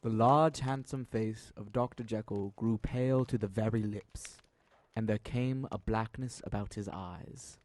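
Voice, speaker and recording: A man's voice: lowish voice, fairly even intonation, measured pace, a little mellow. A man reading scripted literary narration as the narrator of an audio drama.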